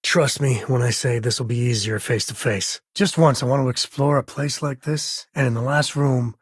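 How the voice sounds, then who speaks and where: An actor playing a wry, easygoing space cowboy explorer in a video game, a man with a husky, raspy voice and folksy, laid-back phrasing. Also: medium pitch, steady tone, normal speed, no room sound, clean studio sound, close to an everyday voice. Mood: wry, teasing. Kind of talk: video game character voice lines.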